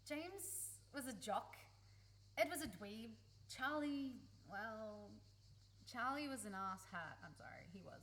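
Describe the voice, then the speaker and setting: An actress plays a young woman with a fairly high voice, lovesick and blunt. She pleads with raw emotion in a radio play, over faint background noise. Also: big pitch swings, takes it slowly, fairly bright tone, heavily acted. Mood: hurt, sardonic. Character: young woman, lovesick, blunt, hurt best friend confessing love.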